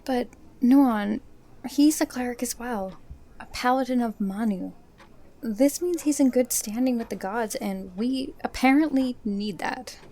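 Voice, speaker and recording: A reasonable fantasy adventurer in an audio drama, played by a woman. The voice is slightly high and mellow, with a measured, persuasive delivery.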